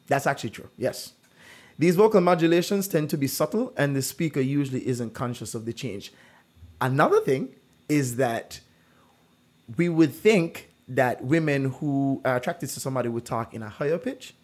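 A man's voice: mid-range voice, normal speed. A man hosting a casual true-or-false quiz, telling stories with lots of "like" fillers. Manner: animated storyteller, many "like" fillers. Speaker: quiz host.

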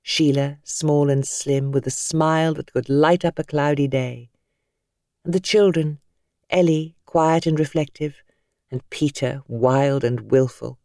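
Expressive British woman narrating, intimate dry sound. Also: low voice, no echo. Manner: measured, expressive storytelling. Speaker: audiobook narrator. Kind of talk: dramatic scripted fiction narration.

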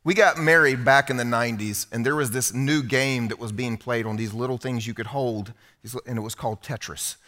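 A man's voice: medium pitch, brisk, sharp tone. A middle-aged man preaching a sermon to a congregation in a church hall, in a conversational, humorous way. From United States. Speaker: pastor.

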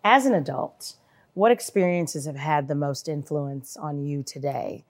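A woman moderating a panel discussion, asking earnest, measured questions. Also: low voice.

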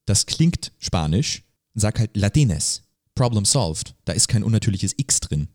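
Low-voiced man chatting casually. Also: big pitch swings, a little slow, darkish tone, dry recording, studio quality. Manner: informal, peppered with English slang. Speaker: podcast co-host. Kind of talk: casual chat.

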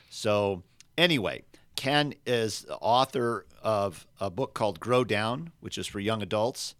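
A man hosting a podcast, his voice scratchy and gravelly from being sick, talking in a rambling, friendly way with many restarts. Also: lowish voice, a bit bright, no room sound. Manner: rambling, self-correcting, friendly. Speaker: podcast host.

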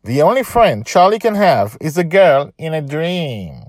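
Guy putting on snotty school bully kid from a story, jeering, pitched up and swingy. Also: sing-song, unhurried, no echo, clean studio sound. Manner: derogatory, jeering. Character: mean, mocking schoolchildren, girls and boys.

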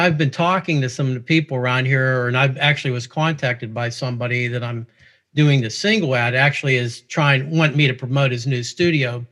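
A man from Pittsburgh talks in a loose, rambling way with a clear Pittsburgh accent. He chuckles often as he chats as a guest musician, in an ordinary mid-range voice. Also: mid-range voice, a bit bright. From Pittsburgh, USA. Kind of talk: casual chat.

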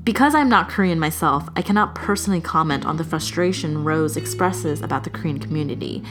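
A woman reading a scripted, earnest narration in a measured way. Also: low-pitched, animated, over music. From Chinese heritage.

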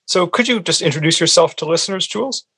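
A man hosting a podcast, asking a guest questions in a polite, slightly halting way. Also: on the high side, gravelly, sharp tone, slightly rough, dry recording. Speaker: podcast host.

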